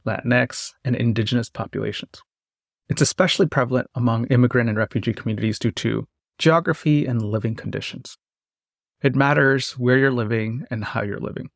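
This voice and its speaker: A man hosting a health podcast, reading a measured scripted introduction and then asking his guests questions. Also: mid-range voice, vocal fry, no echo, clean studio sound. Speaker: podcast host.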